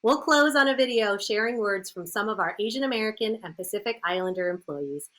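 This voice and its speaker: A woman's voice: mid-range voice, lively intonation, brisk, fairly bright tone. A woman presenting scripted remarks as the host of a broadcast. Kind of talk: scripted presenting to a live-stream audience.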